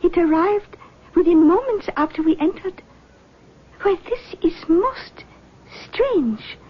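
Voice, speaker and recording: A solemn woman medium speaks very slowly in a high voice, pausing theatrically between phrases. She is mysterious and commanding yet soothing, in a muffled vintage radio drama recording. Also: lively intonation, full character voice. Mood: hushed, intent. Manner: slow, halting, theatrical phrasing. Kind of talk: 1970s radio drama acting.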